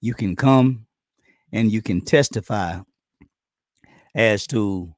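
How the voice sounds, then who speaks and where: A man hosting a live-streamed community talk show, loose and halting with many ums and uhs, his voice going hoarse as he keeps clearing his throat. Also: fairly low pitch, dry recording, clean studio sound. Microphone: computer or phone on internet stream.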